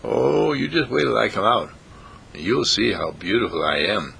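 A self-assured caterpillar from a children's tale, played by a man, proud and patient as he explains himself. The voice is creaky and bright, with lively rises and falls. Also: fairly low pitch, normal speed, slight background noise, full character voice.

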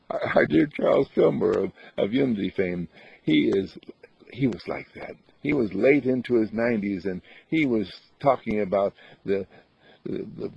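A man giving a meditation talk, repeating affirmations with lively chuckles. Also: lowish voice, gravelly, husky, dry recording. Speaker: meditation teacher. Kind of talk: spiritual talk. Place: outdoors on a path. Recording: dull dry lo-fi recording.

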